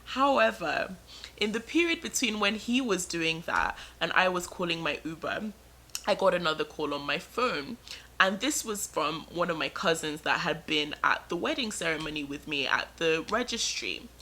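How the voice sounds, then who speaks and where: A young adult woman vlogger telling a personal story in an animated, dramatic way and drawing it out for suspense. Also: on the low side, normal speed, slight background noise. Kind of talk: storytime narration.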